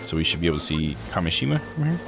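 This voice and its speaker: A man's voice: low-pitched, sing-song, rapid-fire, gravelly, music in the background, pitched below the performer's own voice, exaggerated voice. A man reading the part of an easygoing, wry male sightseer in a visual novel. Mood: casual, wry. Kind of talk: visual novel character read-aloud.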